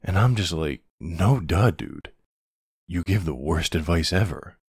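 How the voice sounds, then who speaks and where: A man playing a gruff but tender, protective older man in an intimate ASMR boyfriend roleplay, speaking hesitantly with casual endearments. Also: deep voice, expressive, unhurried, no room sound, studio quality, heavily acted. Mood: wry, subdued. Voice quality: gravelly, husky. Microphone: close, dry microphone.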